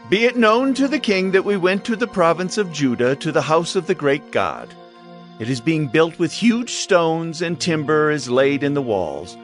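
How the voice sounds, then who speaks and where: A formal provincial governor. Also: male voice, fairly high pitch. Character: male provincial governor writing to the king, formal. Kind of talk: dramatized Bible audio reading.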